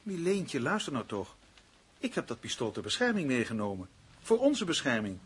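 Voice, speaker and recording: Husky-voiced, evasive radio play husband. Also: male voice, medium pitch, very animated, normal speed. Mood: placating, dismissive. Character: tense, evasive husband taking a nervous woman away. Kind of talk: Dutch radio play acting.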